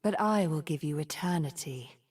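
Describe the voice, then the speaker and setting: A woman voicing a menacing, manipulative sorceress from a video game, husky and breathy, with slow, taunting threats. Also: sits low, expressive, a little mellow. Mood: cold menace. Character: menacing, manipulative sorceress, a cold scheming deceiver. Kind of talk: video game champion voice lines.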